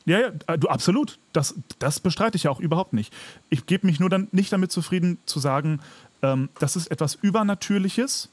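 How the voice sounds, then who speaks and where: Man, podcast co-host, slightly high husky voice, skeptical, argumentative, halting casual talk. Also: expressive, no echo. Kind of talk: casual conversational chat.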